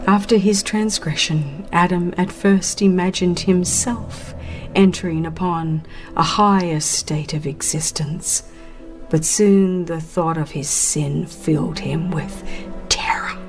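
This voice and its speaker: A woman reading a dramatized religious audiobook as a narrator, in a solemn, measured and expressive storytelling manner. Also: fairly low pitch, faint music behind.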